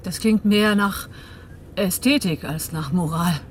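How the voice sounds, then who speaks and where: An actress playing a reserved, quietly grieving woman in a radio drama, speaking with restraint and some hesitation. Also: on the low side, slow, a little hiss or hum. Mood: guarded.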